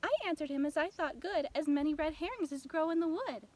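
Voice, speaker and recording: A woman voicing an impertinent, excitable little squirrel from a storybook, chanting taunting riddles in a sing-song manner. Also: high voice, a little fast, voice pushed up. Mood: cheeky chanting. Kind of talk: storybook character voice, chanted rhymes.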